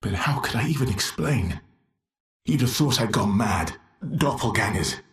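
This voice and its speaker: An actor playing an anguished, guilt-ridden man in a dramatic video game, halting and breathy, often sinking to a whisper. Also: fairly low pitch, lively intonation, reverberant. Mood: torn, resolute. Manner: halting, intense, confessional. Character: anguished, guilt-ridden man desperate to save his family.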